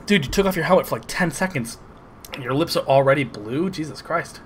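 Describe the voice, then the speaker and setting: A man giving casual, excitable, sarcastic commentary over a video game playthrough, swearing often. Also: fairly high pitch, brisk, slight background noise. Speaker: gameplay commentator. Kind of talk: casual let's play commentary.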